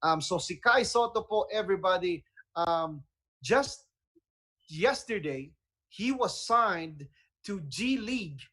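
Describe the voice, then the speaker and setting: Male radio host, Filipino accent, high register, enthusiastic and chatty, casual talk-show delivery. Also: lively intonation, takes it slowly, pushed and bright. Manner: enthusiastic, conversational.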